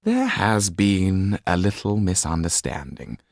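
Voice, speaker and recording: A man's voice: low voice, huge pitch swings, unhurried, vocal fry, dry recording, studio quality, full character voice. A man voicing a haughty, disdainful French marquis in an audiobook, speaking in a stiff, curt and pompous manner.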